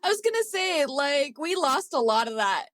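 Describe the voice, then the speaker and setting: A woman with a fairly high voice chats in a playful, informal way, peppering her talk with "like". She is recorded dry, without room echo. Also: sing-song, normal speed, a bit bright. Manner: informal, playful, says "like" often. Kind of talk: casual chat.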